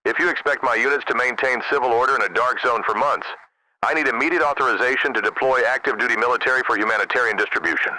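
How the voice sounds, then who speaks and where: A man's voice: mid-range voice, quick pace, pushed and bright, rough recording. A man playing an urgent army general in a scripted crisis drama, with insistent, formal military delivery. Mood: alarmed, insistent. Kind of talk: scripted radio drama dialogue.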